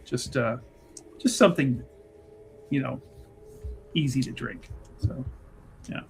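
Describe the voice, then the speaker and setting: A man's voice: medium pitch, takes it slowly, creaky, slight background noise. A man casually improvising narration as a tabletop roleplaying player, jokey and chatty.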